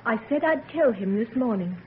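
A woman's voice: on the high side, expressive, normal speed, natural voice. An actress playing a proud, wounded young society woman in an old-time radio drama. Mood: defiant. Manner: wounded, proud, clipped answers. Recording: noisy, narrow-band vintage radio recording.

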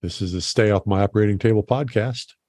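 A man hosting a podcast, talking in a relaxed, folksy way. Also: lowish voice, quick pace, dry recording. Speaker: podcast host. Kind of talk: casual interview chat.

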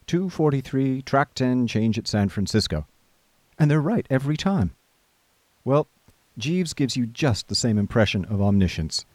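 A man playing a chatty, idle young gentleman who narrates a comic radio drama in breezy, old-fashioned upper-class phrasing. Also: mid-range voice, lively intonation, normal speed, no room sound, natural voice.

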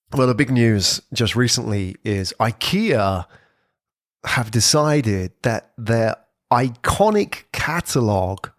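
A man giving an informal explainer talk on podcasting, lively and conversational, with frequent "sort of" hedges. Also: mid-range voice, vocal fry, no room sound, clean studio sound. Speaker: presenter on brand podcasting.

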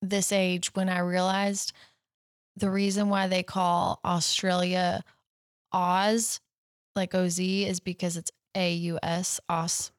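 A woman co-hosting a podcast in casual chat, chatty and quick to laugh along. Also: lowish voice, little pitch movement, smooth, no room sound, studio quality. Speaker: podcast co-host.

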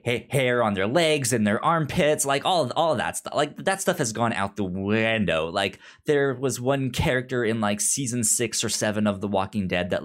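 A man co-hosting a podcast in casual pop-culture chat, rambling and repeating words and phrases as he goes. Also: lowish voice. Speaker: podcast co-host.

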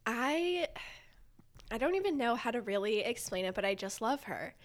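High-voiced woman in casual chat. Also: sing-song, normal speed. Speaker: podcast guest.

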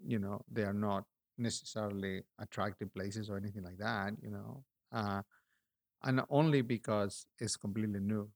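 A middle-aged man with a Peruvian accent, an artist talking in a relaxed interview, reflective and halting, with many restarts. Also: on the low side, creaky.

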